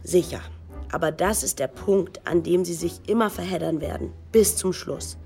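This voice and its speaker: Wry, lower-pitched actress as female radio narrator. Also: moderate pace, warm tone, soft background music, lightly acted. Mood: pointed. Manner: ironic, pointed. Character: wry, needling female narrator commenting on constitutional debates. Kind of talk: radio documentary drama narration.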